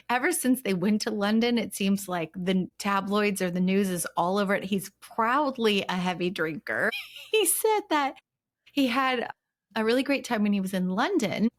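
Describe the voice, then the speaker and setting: Bubbly, laughing woman podcast co-host. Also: mid-range voice. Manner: bubbly, laughs often, rambling with many "like" fillers. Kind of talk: casual podcast chat.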